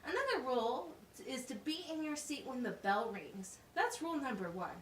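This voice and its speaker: A woman with a high, very animated voice acts as a teacher in a puppet show. She explains things in simple, deliberate words. The recording is echoey and picked up from a little way off. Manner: instructive, simple wording with deliberate enunciation. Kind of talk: slow, scripted classroom puppet show. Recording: roomy, distant mic with faint noise.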